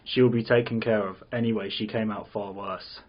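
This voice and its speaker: A man playing a sinister, condescending villain scientist in an amateur script read, with measured, controlling delivery. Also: on the low side, steady tone, close to an everyday voice. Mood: cold, dismissive. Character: sinister, condescending male villain scientist running cruel tests. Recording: dull lo-fi recording.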